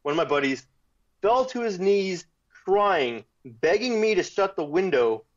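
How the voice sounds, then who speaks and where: A man's voice: sits high, unhurried. Man telling a story over lo-fi audio. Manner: animated retelling with quoted voices, restarts. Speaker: guest. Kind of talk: casual storytelling.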